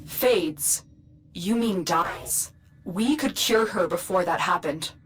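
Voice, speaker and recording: A woman playing a composed, caring mission commander in a video game, giving calm, clipped instructions. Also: fairly low pitch, slow pace, background noise. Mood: concerned, questioning. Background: prominent music.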